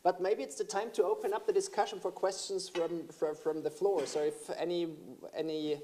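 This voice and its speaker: Moderator, panel discussion, measured, formal, mellow. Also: medium pitch, flat delivery, slight background noise.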